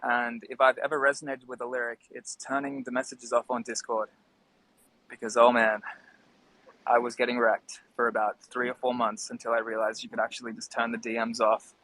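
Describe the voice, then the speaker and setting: A man's voice: medium pitch, level tone, a little fast, bright, forceful tone, poor audio. A man hosting a casual live discussion, talking in a friendly, appreciative way. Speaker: host.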